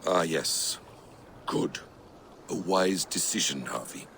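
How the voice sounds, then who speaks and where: An actor playing a sly trickster god in a video game, probing and insinuating with feigned innocence. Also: male voice, low-pitched, slow, a bit bright, breathy edge, exaggerated voice. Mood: smooth, sly. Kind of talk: video game cutscene acting.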